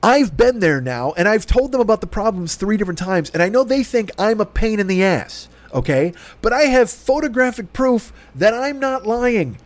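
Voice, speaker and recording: Heated profane Chicago man, high voice. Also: expressive, normal speed, few pauses, dry recording. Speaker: podcast host. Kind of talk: casual storytelling rant.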